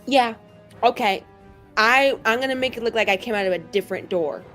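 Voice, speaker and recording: A woman's voice: a little high, a little fast, a bit bright, faint music behind. A woman chatting casually as a player in a tabletop roleplaying game.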